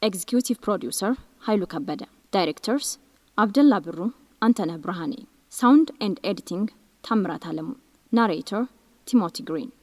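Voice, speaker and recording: A woman's voice: mid-range voice, lively intonation, moderate pace, darkish tone, no room sound, in a large hall. A woman reading out programme credits as a scripted announcement.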